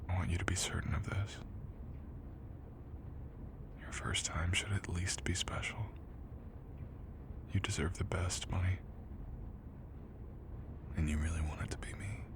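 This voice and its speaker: Very deep, tender young college jock, acted by a man. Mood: hushed, intimate. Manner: soft, teasing, gently reassuring. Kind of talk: intimate boyfriend ASMR roleplay. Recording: clearly audible background noise.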